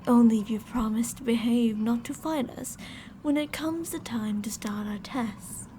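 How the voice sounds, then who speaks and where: High-pitched sweetly menacing yandere scientist played by a woman. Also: fairly even intonation, unhurried, dark tone, a little hiss or hum, close to an everyday voice, poor audio. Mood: controlling, coaxing. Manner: coaxing, domineering, sweetly menacing pet-name talk. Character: two teasing, possessive female yandere mad scientists holding a captive. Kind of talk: ASMR roleplay.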